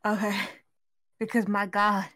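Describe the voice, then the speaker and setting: A woman's voice: mid-range voice, little pitch movement, unhurried, a bit bright. A woman co-hosting a casual film chat, reacting in an informal, gushing way with plenty of swearing. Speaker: podcast co-host.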